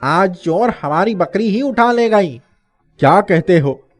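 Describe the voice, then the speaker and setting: Man playing high-pitched agitated village man. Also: lively intonation, takes it slowly. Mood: indignant. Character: agitated male villagers and priest in a folk tale. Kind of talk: animated moral story dubbing.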